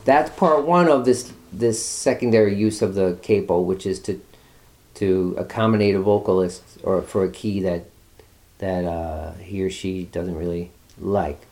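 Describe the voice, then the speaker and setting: A man's voice: deep voice, measured pace, darkish tone. Patient man teaching guitar. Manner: patient, walks students step by step. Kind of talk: live guitar lesson. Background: guitar playing.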